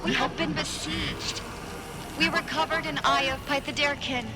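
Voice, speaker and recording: A woman's voice: high-pitched, steady tone, normal speed, very noisy, lightly acted. A woman playing a desperate oracle in a video game, pleading urgently. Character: desperate female oracle pleading under siege. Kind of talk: video game cutscene acting.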